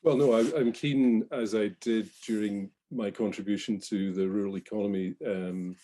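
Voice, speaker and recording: A man on a panel answering a question in a measured, slightly hesitant way. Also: fairly low pitch, fairly even intonation, mellow.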